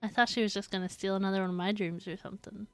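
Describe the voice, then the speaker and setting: A woman's voice: mid-range voice, steady tone, very fast, clean tone, no echo, soft background music. A woman streaming a game with casual, informal, playful live commentary.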